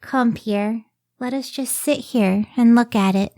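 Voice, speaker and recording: A woman playing a devoted, idealistic scientist in an old-time radio drama, speaking earnestly and with measured care. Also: a little high, a little mellow. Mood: tender wonder.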